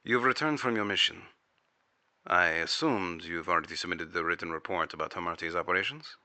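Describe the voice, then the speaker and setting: A man's voice: low-pitched, a little fast, sharp tone, no room sound, exaggerated voice. A man playing a formal, probing intelligence agent who takes testimony in an audio drama. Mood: formal, courteous. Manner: formal, inquisitive. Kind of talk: audio drama interrogation dialogue.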